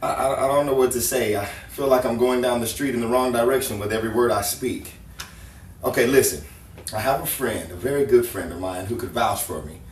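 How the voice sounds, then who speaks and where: A man's voice: medium pitch, a little fast, close to an everyday voice. A man playing a flustered, eager-to-please painter in a 1950s-style radio romance, stammering and apologetic. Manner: stammering, apologetic, nervously chuckling. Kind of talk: 1950s-style live radio drama acting. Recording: roomy, distant mic, faint noise, audience.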